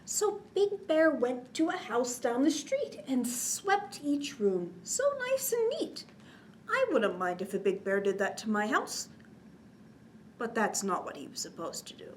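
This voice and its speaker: A woman's voice: on the high side, big pitch swings, unhurried, a little hiss or hum. A woman reading a children's picture book aloud expressively, in a sing-song way with chatty little asides. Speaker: children's storytime reader.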